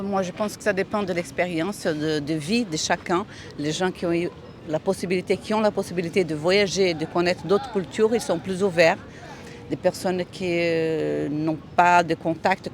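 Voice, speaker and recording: A woman's voice: lowish voice, fairly even intonation, moderate pace. A woman from Brazil giving a thoughtful, explanatory answer in a street interview. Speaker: passer-by. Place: city street outdoors. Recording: noisy outdoor street recording.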